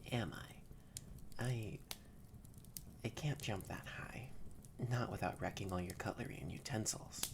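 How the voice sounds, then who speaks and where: A haughty tsundere catboy acted out by a young performer in an intimate audio roleplay, pompous one moment and shyly hesitant the next. He talks slowly with very lively pitch, often dropping to a breathy murmur, over clear background noise. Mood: haughty, grumbling. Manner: pompous, flustered, hesitant stammering, often drops to breathy near-whispers. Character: haughty tsundere catboy, grumpy yet soft and shy.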